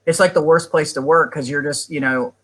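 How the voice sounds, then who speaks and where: A man's voice: on the high side, fairly even intonation, a little fast, a bit bright, breathy edge, poor audio. A man who has worked in animal shelters for years, answering interview questions in an earnest, explanatory way and now and then addressing people as "man". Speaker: animal shelter expert guest.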